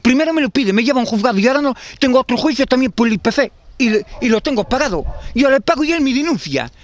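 Galician guy in his 50s, rambling with restarts, outdoors into a handheld mic, quick talker. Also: very high-pitched, expressive, no room sound. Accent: Galician Spanish. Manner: rambling, repetitive, many restarts. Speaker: tenant facing eviction. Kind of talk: street interview. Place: outdoors in a garden. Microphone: handheld interviewer mic.

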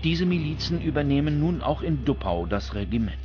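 A man's voice: fairly high pitch, very animated, a little fast, dull audio. Male documentary narrator over music. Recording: music bed behind the voice.

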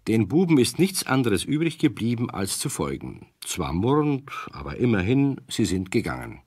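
Brief: male narrator for a kids' radio story, lowish voice, lively intonation, dry close studio sound. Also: moderate pace, breathy edge, dry recording.

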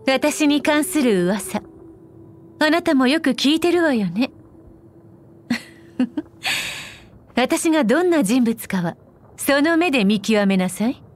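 A refined, wealthy lady of power from an anime game. Her voice sits a little high and moves very slowly, each phrase deliberate. She sounds poised and shrewd, with an elegant, teasing composure. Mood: coyly amused. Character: poised, shrewd, wealthy noblewoman trader and ruler. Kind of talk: scripted anime game character voice lines.

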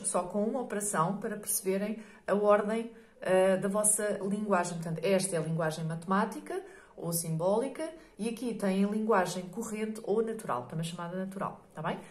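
A hoarse middle-aged woman with a European Portuguese accent, teaching a maths lesson patiently, checking in often with "ok?" and many fillers. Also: mid-range voice, normal speed, echoey room. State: hoarse from a cold.

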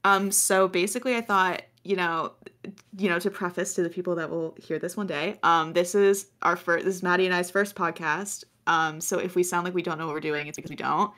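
An American woman in her twenties with a low voice, co-hosting a podcast in casual, rambling chat. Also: steady tone, fairly quick, fairly bright tone. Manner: chatty, laughing often, rambling. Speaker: podcast co-host.

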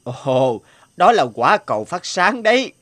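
A man voicing a friendly old villager in an animated fairy tale, with an unhurried, drawn-out delivery. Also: high voice, heavily acted. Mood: friendly, explaining. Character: friendly old male villager, slow-talking and obliging.

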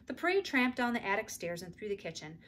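A middle-aged woman reads a children's book aloud at home. Her delivery is expressive storytelling in a mid-pitched voice with a bright, crisp edge. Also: fast, slight background noise. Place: indoors in a room at home.

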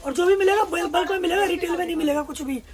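A young man working as a wholesale shopkeeper, rattling off prices in an emphatic sales pitch and repeating his terms, in a crowded indoor market shop. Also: very fast, crowd noise.

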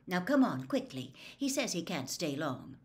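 A woman plays a motherly middle-aged witch in a storybook reading. The delivery is brisk and gently bossy, with a lively rise and fall. A mild reproof sits under the words. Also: on the low side. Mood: gently reproving. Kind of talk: audiobook character voice.

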